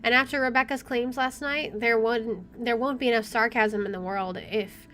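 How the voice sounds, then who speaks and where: A woman's voice: a little high, fairly even intonation, moderate pace, fairly bright tone. A woman let's player reading a visual novel aloud expressively with chatty asides, game music playing behind her.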